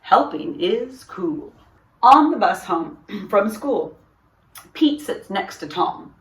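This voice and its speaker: A woman hosting a storytime, reading a children's picture book aloud in an expressive, deliberate way. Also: mid-range voice. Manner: expressive, deliberate, puts on character dialogue. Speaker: storytime host, reader. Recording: roomy echo, distant microphone.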